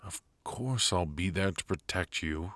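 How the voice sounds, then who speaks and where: A man playing a brooding, protective knight in an intimate ASMR roleplay, speaking in a halting, earnest, hushed way. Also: deep voice, dry recording. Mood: worried, protesting. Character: brooding, protective male knight devoted to an outlawed royal. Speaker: voice actor.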